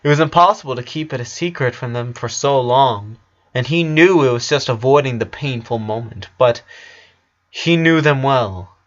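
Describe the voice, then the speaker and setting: A man's voice: mid-range voice, normal speed. A man narrating a sad story as a dramatic reading, on a dull, lo-fi recording. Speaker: fan fiction narrator.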